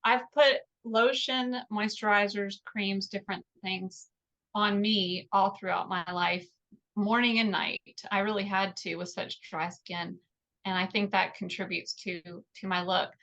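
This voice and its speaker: A woman in her mid-forties explaining things as an interview guest, often pausing to find her words. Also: mid-range voice, fairly even intonation, a bit bright, lo-fi sound. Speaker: podcast guest, natural skincare product maker. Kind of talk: interview talk explaining products.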